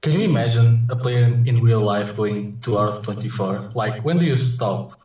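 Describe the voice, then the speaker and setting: A man with a medium-pitched voice talks sports casually, with a conversational, wry manner. He sounds a bit far from the mic in a roomy, dull recording. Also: normal speed, mellow. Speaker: podcast panellist.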